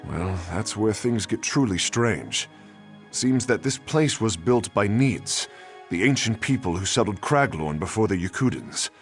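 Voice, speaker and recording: A curious scholar-adventurer in a fantasy game, low voice, lively intonation, musing explanations. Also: male voice, measured pace, soft background music. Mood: intrigued. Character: intrigued male explorer studying ancient ruins.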